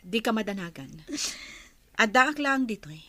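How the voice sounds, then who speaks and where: A woman with an Ilocano accent acting as a caring companion in a radio drama, speaking in a gentle, consoling way. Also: a little high, lively intonation, a little slow, no room sound. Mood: soothing. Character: soothing, caring female companion of a distraught mother.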